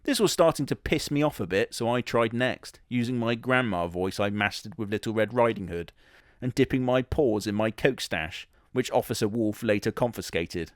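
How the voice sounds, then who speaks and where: A man's voice: medium pitch, normal speed, no echo, clean studio sound. A man telling a crude comic story in a sardonic, matter-of-fact way, swearing casually. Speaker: comedy narrator in character.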